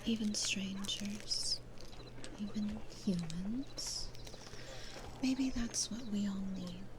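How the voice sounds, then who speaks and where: Woman whispering as a sweet, slow demon florist. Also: mid-range voice, noisy background. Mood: gentle, reassuring. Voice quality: breathy whisper. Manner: gentle, slow, soothing, with unhurried pauses. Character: sweet, gentle female demon florist, kind to strangers. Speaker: ASMR roleplay performer. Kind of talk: whispered ASMR roleplay.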